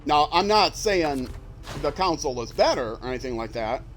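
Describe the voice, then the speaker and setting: A man's voice: on the high side, lively intonation, normal speed, slight background noise. An older retired man with a young-sounding voice, chatting casually and rambling with many fillers as he streams a game. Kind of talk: casual livestream commentary while playing.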